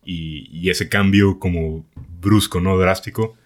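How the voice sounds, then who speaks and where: A young Mexican man with a Mexican accent, hosting a casual podcast in a relaxed, slangy way with lots of fillers. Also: deep voice, measured pace. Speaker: podcast host.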